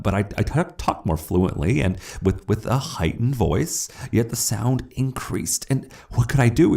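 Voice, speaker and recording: An unhinged, cunning killer confessing his crime in a classic horror story. The voice is a low male one with a gravelly fry. The delivery is theatrical, with dramatic swings in pitch. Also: full character voice. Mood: uneasy, faltering. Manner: theatrical, stammering, swinging from hush to shriek. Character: unhinged murderer confessing, gleefully cunning, then frantic.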